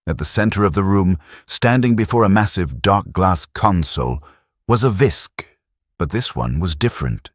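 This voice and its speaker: A man narrating a science fiction audiobook in a steady, dramatic storytelling manner, close to a studio mic. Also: low-pitched, moderate pace, no room sound. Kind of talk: scripted narration.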